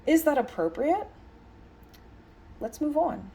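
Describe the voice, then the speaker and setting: A woman with a slightly high voice interprets a lecture aloud. She talks conversationally, breaking her thoughts into short, halting phrases and leaning on the key words. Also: sing-song, mellow, a little hiss or hum. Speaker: sign language interpreter voicing a signed lecture.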